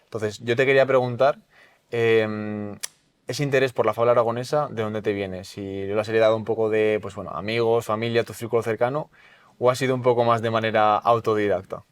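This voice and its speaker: A man's voice: fairly low pitch, level tone, brisk, clear, resonant tone. A man hosting a brand podcast, asking long, rambling questions full of hesitations. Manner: hesitant, many "eh" fillers and restarts, rambling questions. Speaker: podcast host. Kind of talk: casual interview chat.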